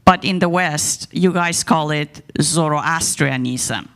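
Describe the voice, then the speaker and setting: A woman's voice: low voice, slow pace, a bit bright, big-room sound. Iranian-accented woman, guest speaker at a church, wry and self-deprecating, on a mic. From Iran. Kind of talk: talk on religion to a congregation. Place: church service.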